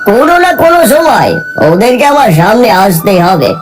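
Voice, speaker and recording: A woman's voice: fairly high pitch, big pitch swings, a little fast, noisy background. A woman voicing a stern but caring middle-aged king who speaks firmly and earnestly. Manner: firm and earnest, vowing justice.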